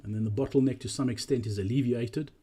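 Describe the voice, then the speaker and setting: A man narrating a walkthrough presentation in a measured, explanatory way. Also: mid-range voice, dark tone, husky.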